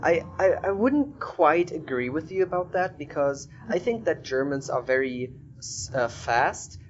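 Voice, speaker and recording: A man's voice: a little high, very animated, a little slow, slight background noise. Man hosting casually, dull audio. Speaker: podcast host, interviewer. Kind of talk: casual conversational interview.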